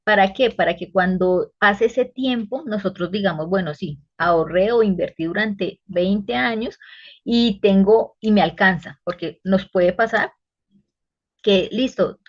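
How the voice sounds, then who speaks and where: A woman podcast guest working as a financial advisor, explaining retirement saving and investing in a measured, patient way. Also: medium pitch, no room sound, lo-fi sound. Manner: explanatory, measured advice-giving. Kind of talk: casual podcast interview.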